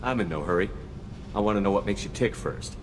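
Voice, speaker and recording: An actor playing a steady, probing human military commander in a video game, direct and even-tempered. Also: male voice, lowish voice, a little fast, big-room sound, background noise, close to an everyday voice. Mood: calm, interested. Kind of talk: video game character acting.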